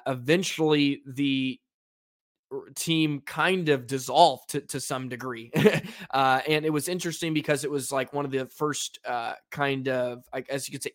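A man's voice: medium pitch, fairly bright tone, dry recording, clean studio sound. A man hosting a sports podcast, talking casually in a rambling way with lots of fillers and restarts. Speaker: podcast host.

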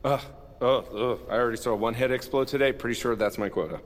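An actor playing a goofy, excitable young man, a reluctant hero in a comedic action audio drama, who yelps, whines and wisecracks. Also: mid-range voice, quick pace, in a large hall, lightly acted. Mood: wry banter. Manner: yelping, whiny, wisecracking.

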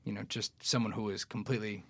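A man's voice: on the low side, steady tone, fast talker, fairly bright tone, slightly rough, no room sound. Young man, from new york city, podcast guest, casual and profane, filler-heavy, dry sound. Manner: loose and profane, many fillers.

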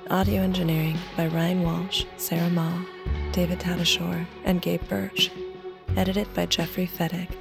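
Woman reading credits, low voice, flat, gravelly, music behind. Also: moderate pace. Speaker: credits announcer.

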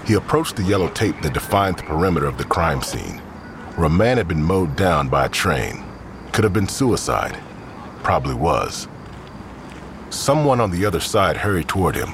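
Low male voice, animated pitch movement, scripted crime fiction narration, clear ambient and vehicle noise. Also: normal speed.

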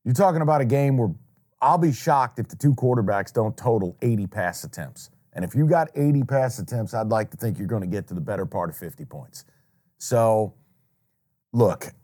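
A man's voice: medium pitch, dark tone. An American man co-hosting a sports betting show with casual, opinionated banter, blunt and dramatically emphatic.

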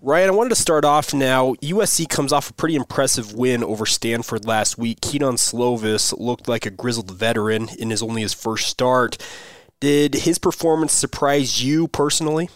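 A man's voice: mid-range voice, normal speed, no echo, studio quality. A man hosting a daily sports podcast, upbeat and conversational, his voice a little worn from being under the weather. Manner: upbeat, conversational, addresses listeners as guys. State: under the weather, voice not full. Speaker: podcast host. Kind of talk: sports podcast talk and ad read.

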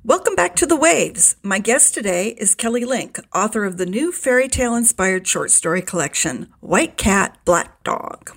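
A woman's voice: medium pitch, big pitch swings, normal speed, hardly any pauses, fairly bright tone. A woman hosting a podcast, asking questions in a conversational book interview.

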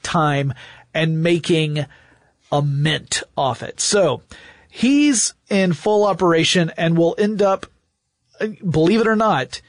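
High-voiced man, dry studio podcast sound. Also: expressive, slow, dry recording. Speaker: podcast co-host. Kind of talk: conversational history podcast, telling anecdotes.

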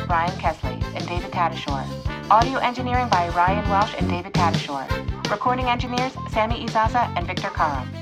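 A woman announcer giving a scripted reading of production credits. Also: medium pitch. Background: loud music.

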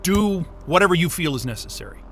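An actor playing a stubborn, frayed, sardonic astrophysicist in a naturalistic sci-fi audio drama, talking in a profane, wry, agitated way. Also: male voice, sits high, animated, quick pace, fairly bright tone, a little hiss or hum. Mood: determined, wry.

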